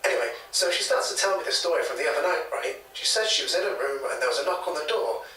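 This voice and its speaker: Hesitant British local man, chatty and hedging, slightly high bright voice, audio drama interview. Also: expressive, fast, distant mic. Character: hesitant, gossipy local man retelling a secondhand ghost story. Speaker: interviewee, former hotel worker. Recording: echoey taped interview, off mic.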